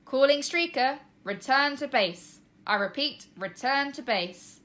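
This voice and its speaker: A woman's voice: high voice, animated, a little slow, fairly bright tone, voice pushed up. A woman plays a cheeky schoolboy in a children's storybook. He talks in a wry way, giving out lines like a pretend military commander. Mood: urgent, commanding.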